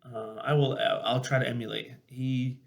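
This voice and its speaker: Male host, mid-pitched, ex-army sergeant type; informal and sincere storyteller who leans on his points in relaxed conversation. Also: normal speed, gravelly.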